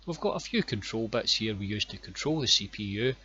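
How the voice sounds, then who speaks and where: Bright-voiced Scottish man explaining. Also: fairly low pitch, lively intonation, dull audio. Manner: plain, unhurried explaining with frequent ums and repeated words. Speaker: online course instructor. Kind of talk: screen-recorded course walkthrough.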